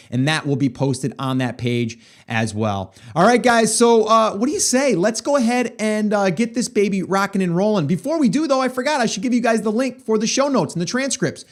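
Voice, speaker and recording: A middle-aged man hosting a podcast, telling a story in a chatty way with lots of fillers, his voice hoarse. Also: sits high, expressive, brisk, few pauses. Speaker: podcast host. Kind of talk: casual solo podcast monologue.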